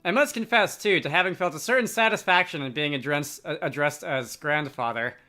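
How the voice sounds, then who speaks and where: A man's voice: fairly high pitch, brisk, pushed and bright. Man voicing a courtly, apologetic old knight. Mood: humble, courtly. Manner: formal, archaic, self-effacing.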